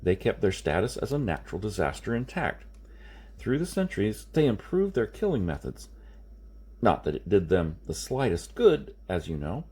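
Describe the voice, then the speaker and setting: An insistent man lectures and explains with great emphasis , as read by a male audiobook narrator. His husky voice is lowish and swings widely in pitch as he reasons. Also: wildly swooping pitch, moderate pace, dark tone, heavily acted. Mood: grim, emphatic. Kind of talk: audiobook character dialogue.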